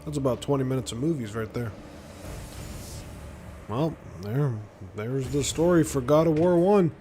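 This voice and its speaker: A man's voice: mid-range voice, moderate pace, mellow, slight background noise. A man hosting a gaming video with casual, chatty playthrough commentary. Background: faint game music.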